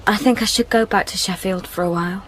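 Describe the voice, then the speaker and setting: An actress playing a grieving young widow in a radio drama, earnest and hesitant. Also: female voice, mid-range voice, fast, a little hiss or hum, close to an everyday voice. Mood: hesitant, pleading. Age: young adult. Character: grieving young widow torn by new love, withdrawn. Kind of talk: naturalistic radio drama acting and first-person narration.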